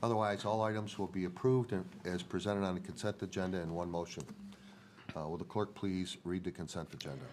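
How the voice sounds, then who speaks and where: Older American male board chair, deep voice, congested nose, procedural yet casual delivery, noisy meeting room recording. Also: steady tone, a little fast, a little mellow. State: nasal from a head cold. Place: municipal board meeting hall.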